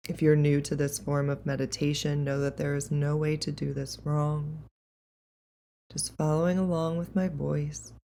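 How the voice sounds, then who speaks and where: A woman leading a guided meditation in a calm, gentle, unhurried and soothing way. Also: sits low, vocal fry, a little hiss or hum.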